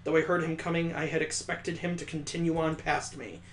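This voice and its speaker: A man voicing a detached, world-weary young heroine narrating a visual novel in a measured, dramatic reading. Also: fairly high pitch, little pitch movement, slight background noise, lightly acted. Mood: annoyed, aloof. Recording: echoey, roomy sound.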